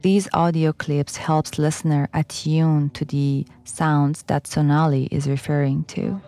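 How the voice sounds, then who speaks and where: A woman with a low, mellow voice reads a scripted academic narration. Her delivery is measured and reflective, and she often poses questions to the listener. Soft music plays faintly underneath. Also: level tone. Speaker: documentary narrator.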